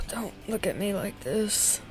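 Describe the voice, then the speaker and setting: An actress playing a sullen, worried teenage daughter in an audio drama, subdued and reproachful. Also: female voice, medium pitch, lightly acted. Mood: weary, defensive.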